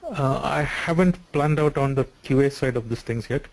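Man, mid-pitched voice, explanatory, many fillers, video call. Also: normal speed, no room sound. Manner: explanatory, frequent "uh" and "so". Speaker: software developer answering questions.

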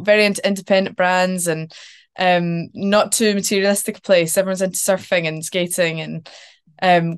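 A woman's voice: on the low side, clean tone. A young woman with a Glaswegian Scottish accent, an actor guest talking in a casual interview over a video call from her couch at home. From Scotland. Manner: chatty and animated, with many ums and likes. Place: home living room, sitting on a couch.

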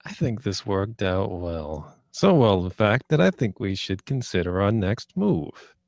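A man's voice: lowish voice, very animated, unhurried, gravelly, a little mellow, no room sound, studio quality, exaggerated voice. A man reading the part of a cocky, menacing, sarcastic young drug dealer in a screenplay table read. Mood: taunting. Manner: sarcastic, foul-mouthed, taunting.

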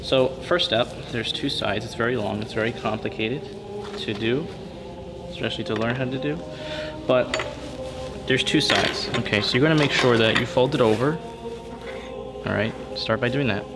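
A man in a church calmly demonstrating how to fold and lay out vestments, repeating steps and checking in with "okay?". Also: medium pitch, moderate pace, pauses often. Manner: patient, step-by-step, repeats himself with frequent "okay?" checks. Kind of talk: hands-on instructional demonstration. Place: church interior at a table. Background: background music.

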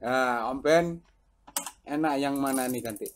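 A man's voice: mid-range voice, measured pace, slight background noise. A man, chatting casually as a CB radio operator. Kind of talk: casual two-way radio chat.

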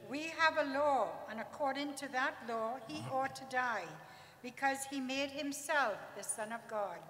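Woman voicing high, bright, accusing crowd. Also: unhurried, a little hiss or hum. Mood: insistent. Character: accusing crowd demanding a prisoner's execution. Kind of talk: dramatic scripture reading. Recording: distant echoey hall, long reverb.

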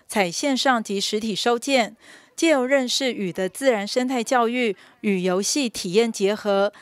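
A woman with a Taiwanese Mandarin accent narrating a scripted news report as a reporter. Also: a little high.

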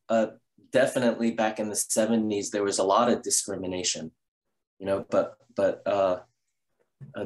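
A man in his fifties from the Bay Area, a Zen priest speaking on a video call in a halting way, with many restarts. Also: lowish voice, poor audio. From California, Bay Area. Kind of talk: reflective conversation. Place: room at home.

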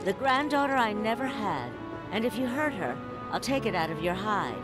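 An actress voicing a gruff, protective older landlady who talks bluntly with a folksy, dryly threatening edge. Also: mid-range voice, lively intonation, normal speed, close to an everyday voice. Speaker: game character. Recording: game audio with music.

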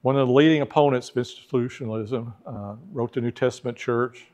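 A man's voice: mid-range voice, fairly even intonation, fairly quick, a little mellow. A middle-aged man teaching a church history class in a church classroom, talking conversationally, putting questions to the class and acting out the people he quotes.

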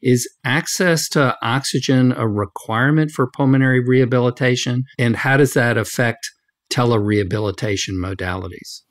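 A man's voice: medium pitch, normal speed. A man hosting a medical podcast, putting formal questions to a guest. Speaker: podcast host, physician.

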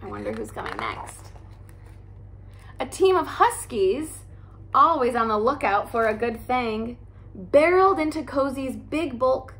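Expressive young woman reading a storybook. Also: sits high. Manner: animated, expressive storytelling with character voices. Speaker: teacher reading aloud. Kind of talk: storybook read-aloud. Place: living room by a fireplace, indoors.

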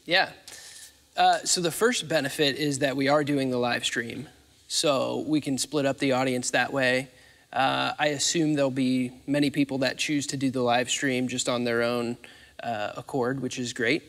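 Interviewee: American man, average pitch, plain practical explanations, recorded on an echoing stage. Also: steady tone, normal speed, a bit bright. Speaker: theatre facilities manager, interviewee. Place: large theatre stage, indoors. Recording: reverberant empty theatre stage.

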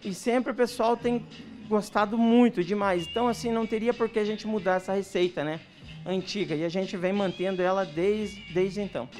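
A man, talking haltingly in an informal interview, muffled by a mask. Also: high-pitched, a little mellow. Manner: halting, frequent tag questions. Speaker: restaurant representative. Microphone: handheld microphone. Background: background music.

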